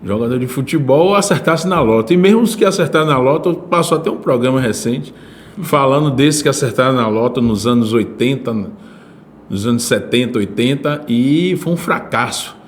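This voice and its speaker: A man's voice: mid-range voice, expressive, moderate pace, a little hiss or hum. A man from Salvador with a Bahian Brazilian accent, hosting a podcast in a casual, conversational way. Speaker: podcast host.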